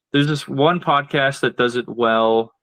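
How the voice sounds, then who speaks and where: A man's voice: medium pitch, slow pace, fairly bright tone, dry recording, low-quality recording. A man guest on a podcast, explaining ideas step by step in casual talk with frequent fillers and asides. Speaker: podcast guest, marketing strategist. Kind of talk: casual advice-giving conversation.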